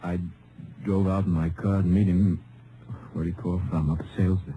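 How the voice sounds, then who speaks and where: Dark-voiced, weakened deputy played by a man. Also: sits low, flat delivery, close to an everyday voice. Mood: weak, dazed. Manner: halting, plain-spoken, folksy. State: weak, in pain. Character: gravely wounded Texas deputy sheriff, grieving father. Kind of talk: old-time radio drama acting. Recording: faintly noisy old radio recording.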